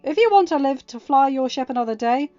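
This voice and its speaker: Commanding Jedi pilot, loud and sure; a woman reader voices him. Lo-fi audio, music softly behind. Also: sits high, lively intonation, a little fast, no echo, voice pushed up. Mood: firm. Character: Anakin, confident male Jedi pilot, commanding.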